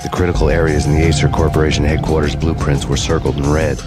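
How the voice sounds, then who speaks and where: An actor playing a hard-boiled, world-weary vigilante who narrates his story in a deadpan, sardonic noir style. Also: a bass voice, fast talker. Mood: brooding, wry. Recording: music behind the voice.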